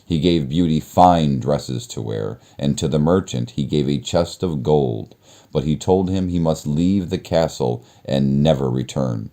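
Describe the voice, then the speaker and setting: A man reading a children's story aloud as narrator, in a measured, expressive storytelling manner. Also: a bass voice. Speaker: storybook reader.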